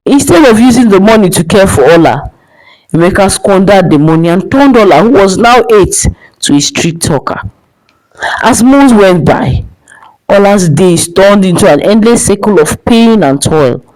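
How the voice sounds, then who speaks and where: A woman tells a dramatic folktale in a scripted storytelling style. Her pitch moves freely and expressively up and down, sitting in a medium register. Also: animated, normal speed, a little mellow, low-quality recording. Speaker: storyteller, narrator.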